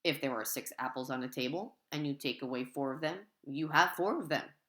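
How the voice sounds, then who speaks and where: A woman's voice: low-pitched, fairly quick, fairly bright tone, roomy sound. A woman voicing a bumbling male teacher who poses as a superhero, speaking in a grand, emphatic way. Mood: instructive.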